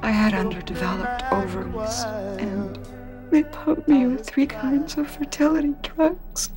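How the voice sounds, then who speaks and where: A woman playing a huge, grieving, bitter middle-aged wife in an animated film drama, deliberate and wounded in delivery. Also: fairly high pitch, natural voice. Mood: wistful, bitter. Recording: music behind the voice. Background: music.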